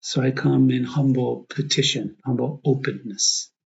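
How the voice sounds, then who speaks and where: Husky, gravelly man leading prayer. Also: medium pitch, darkish tone, highs cut off. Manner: reflective, prayerful, pausing in short phrases. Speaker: priest, meditation guide. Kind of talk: guided prayer meditation.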